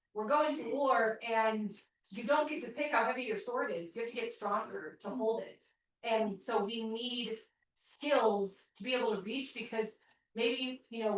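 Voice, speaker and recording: Woman, loud, emphatic, fairly high voice, very echoey room, distant. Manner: emphatic, loud, self-described obnoxious. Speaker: group participant. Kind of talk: casual group discussion.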